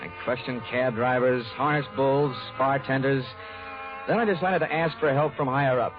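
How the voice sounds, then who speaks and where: Through a narrow, tinny old radio recording with music comes a hardboiled private eye played by a male actor. He talks at a middle pitch, dry and wry, clipping off wisecracks as he narrates his case in 1940s radio drama style. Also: normal speed, close to an everyday voice. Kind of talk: 1940s radio detective acting.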